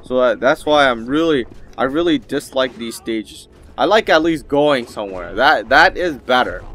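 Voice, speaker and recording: A man's voice: medium pitch, animated, moderate pace, fairly bright tone, soft background music. A man giving casual, rambling gameplay commentary as the host of a gaming video.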